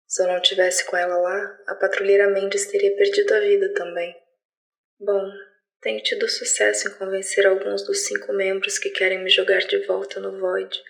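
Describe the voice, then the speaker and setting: A woman voices a lonely alien lizard in a science fiction audio drama. The delivery is measured and reflective, with a mid-pitched voice that stays very level as the gifted outsider dictates a diary. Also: natural voice, rough recording. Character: lonely alien lizard patroller, gifted, wistful, keeping a log.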